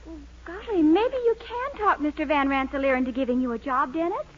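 A woman's voice: high-pitched, lively intonation, fairly quick. An actress playing a sweet, earnest young girlfriend with gushing delivery in a 1940s radio comedy. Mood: sweet, hopeful. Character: sweet, eager young girlfriend and loyal daughter. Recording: muffled 1940s radio recording, faint noise.

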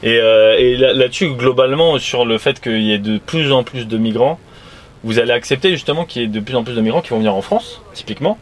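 Fast-talking casual man hosting street chat. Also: medium pitch. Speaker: host of a live street current-affairs show. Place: live stream from a van in central Paris. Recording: noisy city street ambience.

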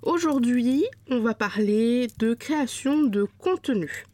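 A woman's voice: fairly high pitch, lively intonation, slow, warm tone, no echo. A woman hosting her own podcast while stuffed up with a cold, talking casually and directly to the listener with frequent fillers. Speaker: podcast host. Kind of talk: solo podcast talk.

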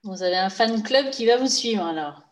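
A woman's voice: medium pitch, expressive, moderate pace. A woman interviewing rally participants, asking brief, friendly questions.

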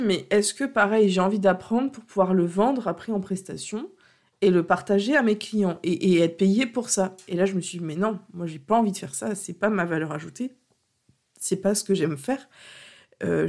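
Woman w a cold doing her solo coaching podcast, lots of ums and restarts, earnest, mid pitch. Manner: hesitant, many fillers and restarts, earnest. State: has a cold. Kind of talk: unscripted solo podcast talk.

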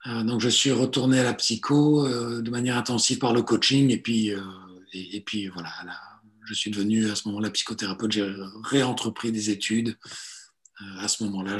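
Hesitant man in his forties. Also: fairly low pitch. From Italy. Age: 40s. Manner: hesitant, many fillers and restarts. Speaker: guest, psychotherapist and coach. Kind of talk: relaxed conversational interview.

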